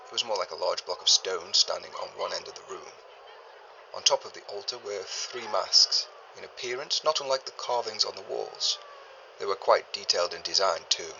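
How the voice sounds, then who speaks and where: An actor playing a learned 1930s archaeologist, a man recording a formal, deliberate journal in grave and uneasy tones for an audio drama. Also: on the low side, vocal fry, sharp tone, muffled recording, slight background noise, heavily acted. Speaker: expedition leader keeping a recorded log. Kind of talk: scripted audio drama monologue, spoken journal.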